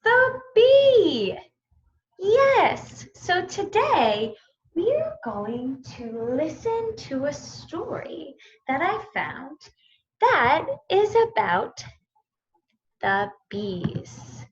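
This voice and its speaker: A woman's voice: sits high, sing-song, very slow, highs cut off. A woman teacher leading a children's story time, speaking in simple phrases with questions and prompts for young listeners.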